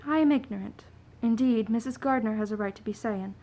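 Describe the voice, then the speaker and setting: A woman playing a poor, proud, plainspoken washerwoman and mother in a radio play, with a creaky voice. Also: on the high side, brisk, warm tone, a little hiss or hum, natural voice. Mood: defiant, weary. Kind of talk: stage-style radio play acting.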